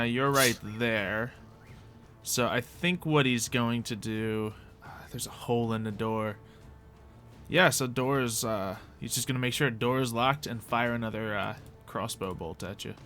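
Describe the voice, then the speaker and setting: A man's voice: mid-range voice, sharp tone. A man acting as game master, narrating a tabletop roleplay session in a casual, jokey, informal way.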